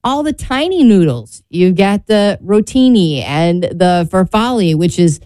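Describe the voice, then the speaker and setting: A woman's voice: medium pitch, expressive, a little slow, mellow, no echo, clean studio sound. A woman with an American accent co-hosting a talk show in casual, playful, informal chat.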